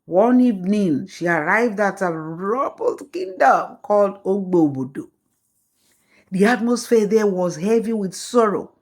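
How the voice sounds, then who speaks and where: A woman telling a folktale as scripted storybook narration, in a slow, measured way. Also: mid-range voice, lively intonation, a little mellow.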